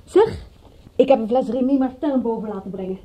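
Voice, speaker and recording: An actress in a Dutch radio play voicing a detective's brisk, blunt and teasing female companion with a husky voice. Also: fairly high pitch, warm tone, natural voice. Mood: breezy, suspicious. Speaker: radio drama actor. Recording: muffled old radio recording.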